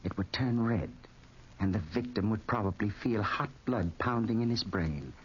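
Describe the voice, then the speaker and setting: A man's voice: on the low side, moderate pace, breathy edge. A man playing a fat, sinister, falsely friendly villain in 1940s radio style, savoring his words unctuously. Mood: gloating menace. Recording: muffled, noisy 1940s radio recording.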